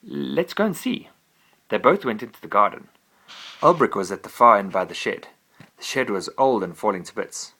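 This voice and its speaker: Gentle dad reading a bedtime story. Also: male voice, fairly low pitch, animated, a little fast, breathy edge.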